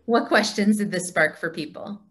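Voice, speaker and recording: A woman's voice: medium pitch, measured pace, a bit bright, slightly rough. A woman facilitating a conversation on a video call, encouraging and feeling her way through her phrasing. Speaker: cantor, discussion leader.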